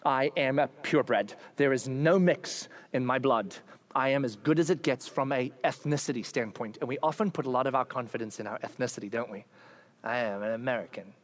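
A man preaching a sermon, animated and humorous, acting out quoted figures. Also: medium pitch, fairly quick. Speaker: pastor.

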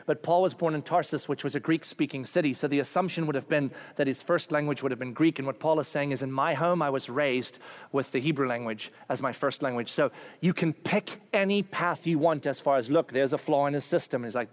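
Pastor, male, lively sermon delivery with jokes and voiced asides, slightly higher pitch. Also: brisk, no echo. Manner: animated, voices quoted figures with playful humor.

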